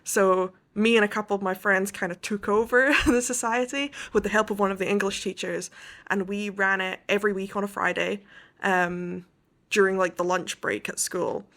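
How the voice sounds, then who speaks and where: A woman's voice: mid-range voice, steady tone, moderate pace, fairly bright tone. A young woman from the west coast of Scotland, an author telling her story as an interview guest, thoughtful and detailed.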